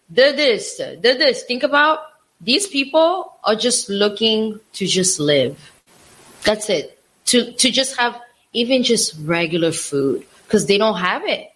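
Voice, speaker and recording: A woman's voice: a little high, a bit bright, rough recording. A woman from Africa co-hosting a casual talk show, speaking with passion and repeating phrases for emphasis. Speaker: co-host. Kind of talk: casual opinion chat.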